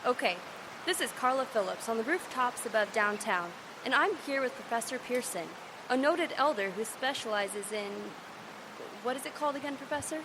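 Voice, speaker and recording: An actress playing a persistent field news reporter in a live audio drama, speaking in a brisk broadcast style. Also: female voice, fairly high pitch, animated, background noise, lightly acted.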